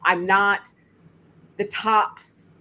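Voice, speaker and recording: A woman filmmaker with a deep voice and lots of bass, speaking emphatically in a panel discussion over a video call. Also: extremely slow. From United States. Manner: emphatic, animated, laughs while speaking. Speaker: filmmaker and juror.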